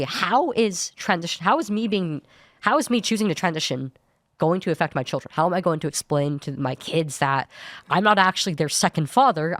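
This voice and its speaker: A young woman with a low voice that is hard to place as male or female, giving an earnest personal account as a podcast guest, often restarting her sentences. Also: dry recording, clean studio sound. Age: young adult. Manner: earnest, searching, many restarts. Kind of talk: personal account in studio talk show.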